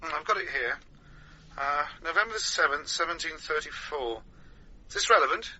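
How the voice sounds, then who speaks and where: Male, hesitant enthusiast in radio drama: higher-pitched, animated, husky, slightly muffled recording. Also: full character voice. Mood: eager, tentative. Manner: halting, tentative, with ums and questions. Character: eager, hesitant man sharing research. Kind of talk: naturalistic radio drama acting.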